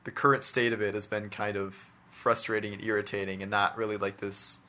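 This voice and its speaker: A man's voice: lowish voice, level tone, fairly quick, pushed and bright. A man talking casually and giving his opinions in a discussion about his hobby, peppering his speech with "like" and "you know". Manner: conversational, hedging with "like" and "you know". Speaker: podcast co-host discussing video games.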